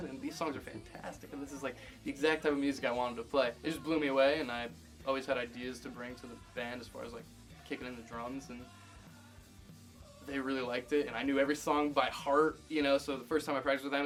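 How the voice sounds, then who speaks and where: A man in his twenties recounts how he joined his band, rambling with eager energy. His voice is mid-pitched, and faint music runs underneath. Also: a bit bright. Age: 20s. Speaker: drummer. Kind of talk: casual documentary interview.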